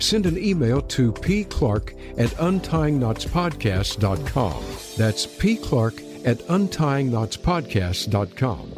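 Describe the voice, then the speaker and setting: A man reading a scripted promotional announcement as a podcast announcer. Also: medium pitch.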